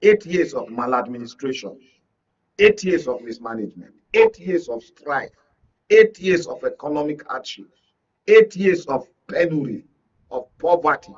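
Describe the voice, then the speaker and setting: A man's voice: fairly high pitch, animated, normal speed. Distant-sounding Nigerian man, emphatic political analyst. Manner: emphatic, repetitive, rhetorical. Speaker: media analyst, studio guest. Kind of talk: political commentary in discussion. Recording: dull lo-fi audio, distant mic.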